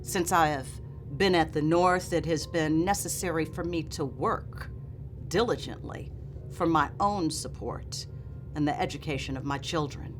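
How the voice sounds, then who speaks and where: Woman reading as earnest, slow-spoken formerly enslaved woman author. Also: low voice. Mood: measured, humble. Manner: formal, solemn, emphatic. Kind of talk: dramatic reading of a memoir preface. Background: music.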